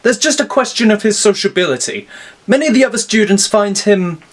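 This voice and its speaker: A genteel female schoolteacher played by a man speaks in a high, husky voice. She is upbeat yet politely fretful, choosing words with care, in an audio story with music underneath. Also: animated, a little fast. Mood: delicately concerned.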